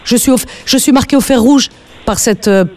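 A woman's voice: fairly high pitch, fairly quick, crowd noise. A woman hosting a live radio call-in, speaking in an earnest, emphatic way and repeating phrases for emphasis.

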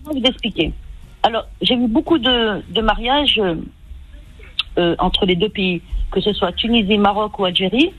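A woman from France calling in to a radio show, speaking in a measured, analytical way and hedging her claims. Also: mid-range voice, a bit bright, slightly rough, dry recording, rough recording. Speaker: radio caller. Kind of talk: call-in radio talk.

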